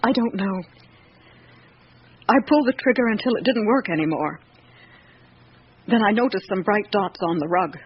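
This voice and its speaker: An actress playing an old, devout female servant in a radio drama, confessing in halting, plain-spoken phrases. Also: medium pitch, lightly acted. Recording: muffled old radio recording, faint noise.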